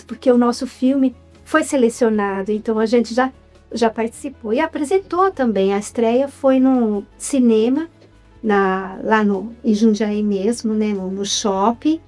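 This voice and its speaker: A woman's voice: on the high side, a little slow, a little mellow, soft background music. A Brazilian-accented woman, a guest in a casual interview, telling her story in an upbeat way. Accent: Brazilian Portuguese. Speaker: guest, writer and film producer.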